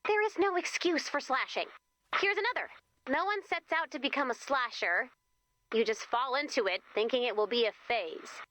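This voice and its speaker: Perky young game character, bright bouncy voice, sarcastic n chatty, super animated. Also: female voice, high-pitched, normal speed. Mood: wry, incredulous. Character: young, perky, quippy female camp leader, wryly exasperated. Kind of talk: video game character voice lines.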